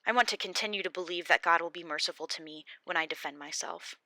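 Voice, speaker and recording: A woman's voice: lowish voice, fast talker, sharp tone, dry recording. A woman voicing Job, an anguished, defiant old man, in an impassioned, raised pleading delivery for an audiobook. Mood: impassioned defiance. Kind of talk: audiobook character reading.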